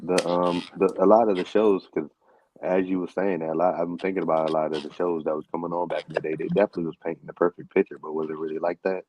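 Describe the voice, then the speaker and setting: A man's voice: sits low, flat delivery, quick pace, a little mellow, no room sound, low-quality recording. A man hosting a podcast, chatting casually and putting questions and opinions to his guest. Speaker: podcast host. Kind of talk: casual chat.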